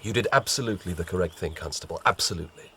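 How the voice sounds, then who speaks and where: An actor playing a brisk, authoritative police inspector in a radio drama. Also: male voice, sits low, bright, forceful tone, slight background noise. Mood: stern. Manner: crisp, commanding.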